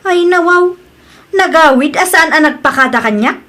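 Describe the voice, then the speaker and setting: Actress for hot-tempered female office snob, Filipino accent, high-pitched, whiny complaints, audio drama. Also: animated, normal speed, bright, forceful tone, full character voice. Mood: irritated. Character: impatient, hot-tempered, haughty female senior employee. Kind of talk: acted audio comedy-drama dialogue.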